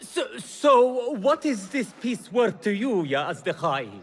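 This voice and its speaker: An actor playing a showy, coaxing auctioneer in a video game, with theatrical declamatory delivery. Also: male voice, high-pitched, big pitch swings, slow, a little hiss or hum, exaggerated voice. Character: showy, flattering male auctioneer at a bazaar.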